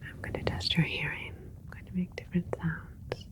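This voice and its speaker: A woman playing a gentle alien scientist in an ASMR medical roleplay, whispering in a breathy, soothing, politely clinical way. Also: normal speed, close to an everyday voice. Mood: attentive, clinical.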